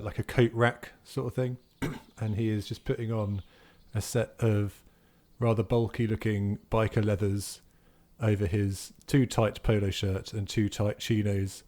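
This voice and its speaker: A man's voice: fairly low pitch, darkish tone. A man improvising narration as a player in a roleplaying game, piecing details together aloud.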